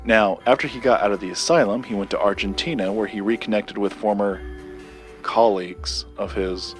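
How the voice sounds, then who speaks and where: A man acting in an audio drama as a secretive collector, recounting a dark legend in a measured, deliberate way with dramatic pauses. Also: on the low side, soft background music, natural voice. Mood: measured, confiding.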